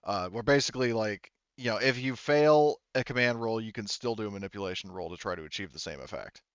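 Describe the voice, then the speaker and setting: A man running a tabletop game as game master, explaining rules in a casual, halting way. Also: lowish voice, smooth, no echo, dull audio.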